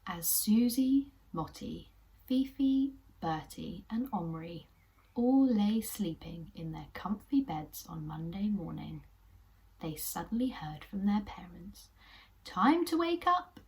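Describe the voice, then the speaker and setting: A young English woman hosting a children's puppet show, telling a story in a gentle, encouraging way. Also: lowish voice, animated, slow, low-quality recording. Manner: gentle, encouraging, sometimes whispery narration.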